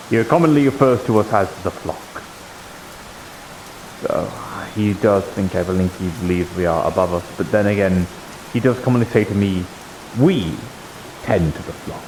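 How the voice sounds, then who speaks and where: Low-voiced man over clear rain-like hiss. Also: normal speed, darkish tone, rough recording. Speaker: streamer role-playing in a VR game. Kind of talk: improvised in-character roleplay dialogue.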